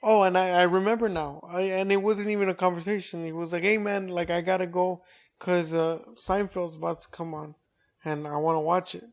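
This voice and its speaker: A man on a comedy podcast telling an anecdote in loose, casual chat, stopping and restarting as he goes. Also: high-pitched. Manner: animated storyteller, halting restarts. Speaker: podcast co-host or guest.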